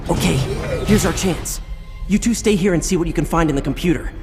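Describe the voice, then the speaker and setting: A man's voice: on the high side, a little fast, natural voice. An actor playing a wisecracking teenage boy hero with a casual, sarcastic manner. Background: game music.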